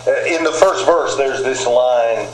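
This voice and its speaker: An older man preaching a sermon, deliberate, with folksy asides to his listeners. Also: mid-range voice. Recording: roomy echo, faint background noise.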